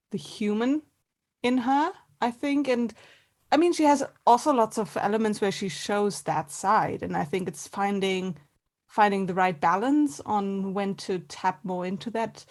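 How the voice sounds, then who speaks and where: A woman chatting casually as an interview guest, thoughtful and a little hesitant as she works out her answers. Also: mid-range voice. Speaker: voice actor, podcast guest. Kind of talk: casual reflective chat.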